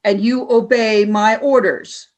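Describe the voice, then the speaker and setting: A woman playing a cold, cruel alien commander in a radio play, speaking in a deliberate, scornful, commanding way. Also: fairly high pitch, steady tone. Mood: stern, scornful. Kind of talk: audio drama radio play acting.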